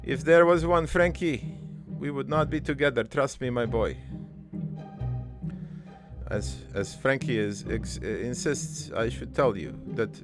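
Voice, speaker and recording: A man playing a crude but wistful old dead father in a cartoon, rambling through his life story in a halting, gravelly, improvised monologue. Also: medium pitch, music in the background, lightly acted. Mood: wry, resigned. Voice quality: gravelly, creaky.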